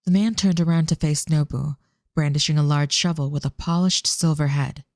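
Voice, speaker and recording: A narrator reading a scripted audio drama in a measured, descriptive storytelling manner. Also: mid-range voice, steady tone, a little mellow, dry recording, studio quality.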